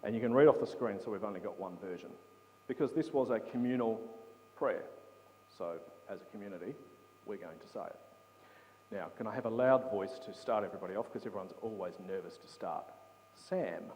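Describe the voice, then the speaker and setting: A man's voice: lowish voice, darkish tone, a little hiss or hum. A man giving a conversational Bible talk to a congregation, often checking in with his listeners. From England. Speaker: preacher. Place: church hall. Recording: long hall reverb.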